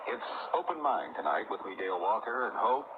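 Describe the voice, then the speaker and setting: A man's voice: on the low side, sing-song, a little fast. A man casually hosting a live call-in radio show. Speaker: radio host. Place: radio studio. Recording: tinny, noisy 1980s radio broadcast tape.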